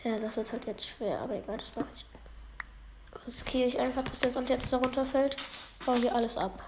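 Woman, high voice, unwell gamer, halting and apologetic while she plays. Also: steady tone, noisy background. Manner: halting, apologetic, loses her words. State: feeling under the weather. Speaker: let's play gamer. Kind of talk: casual gameplay commentary.

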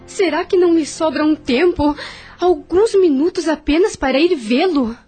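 Radio soap role, female actor: dignified immigrant mother, hurt and reproachful, higher-pitched voice over faint music. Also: moderate pace, warm tone, close to an everyday voice. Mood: firm, reproachful. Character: proud, wounded, reproachful immigrant mother of a young boy. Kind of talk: radio soap opera acting.

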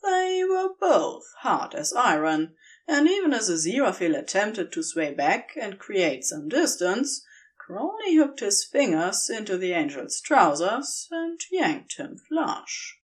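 A woman narrates a story for audio. Her reading is slow and measured, yet expressive and dramatic, with lively movement in pitch. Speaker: audio fiction reader.

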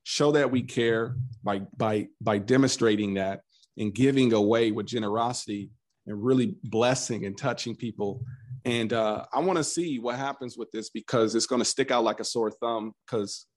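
A man's voice: fairly low pitch, moderate pace. A man talking as a podcast guest, telling his story earnestly and acting out what he once said, often restarting his sentences. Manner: earnest, animated storyteller who voices his past self in quotes; frequent restarts and repeated words. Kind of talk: casual conversational interview.

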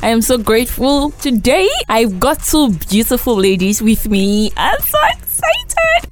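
A woman with a Nigerian accent hosting an upbeat, casual podcast chat in a playful, teasing, exclamatory way. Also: fairly high pitch. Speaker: podcast host.

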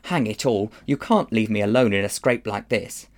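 A man's voice: lowish voice, normal speed, lightly acted. A man voicing an earnest, anxious young schoolboy in a storybook, pleading in old-fashioned slang. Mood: pleading, worried. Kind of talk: audiobook character acting.

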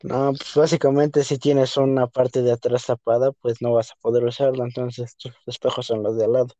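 Man, young adult, rambling casual talk, dark tone, muffled lo-fi recording. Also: mid-range voice, flat delivery, moderate pace, no room sound. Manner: rambling, leans on a filler word. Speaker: podcast co-host. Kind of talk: casual chat.

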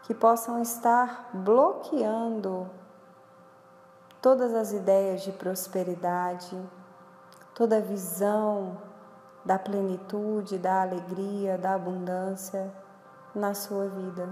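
A Brazilian woman guides a meditation in a soft-edged, mellow voice. She speaks slowly and instructionally, leaving space between phrases. Her voice echoes with a long reverb tail. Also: medium pitch, level tone. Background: music.

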